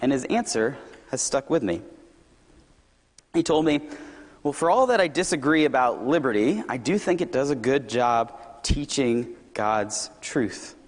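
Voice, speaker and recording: A young man gives a Sunday sermon with an easygoing, joking warmth, his voice slightly hoarse. His words ring out with a long echo through a big church hall. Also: medium pitch. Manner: relaxed, self-deprecating and conversational. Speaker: youth director and occasional preacher.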